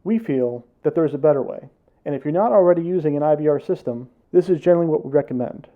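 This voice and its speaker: A man's voice: a little high, lively intonation, quick pace, phone-quality sound. An American man presenting a product explainer as scripted voice-over narration for a tech consultancy. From United States.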